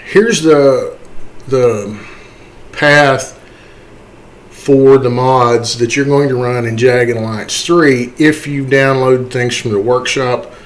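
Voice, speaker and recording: A man with a medium-pitched voice hosts a screen-recorded tutorial. He talks conversationally, explaining things step by step like he is showing another hobbyist how it works, often adding a quick check-in at the end of a point. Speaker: tutorial host, game modder.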